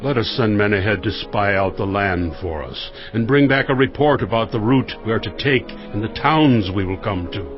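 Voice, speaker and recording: A man's voice: fairly low pitch, expressive, normal speed, few pauses, lightly acted. An actor playing an earnest Israelite man who speaks for his people in a dramatized scripture reading. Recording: dull sound with music underneath. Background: music.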